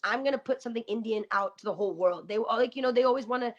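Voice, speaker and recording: A woman guest talking candidly in a radio interview, earnest and quick, with many "you know" fillers. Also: on the high side. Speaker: guest rapper. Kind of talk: casual interview talk with rapped verses. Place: radio studio. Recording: muffled lo-fi sound.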